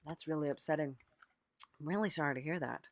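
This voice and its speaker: Deadpan podcast interviewer, dry close sound. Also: mid-range voice, quick pace, no echo. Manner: deadpan, sympathetic, hesitant. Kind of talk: improvised mock interview.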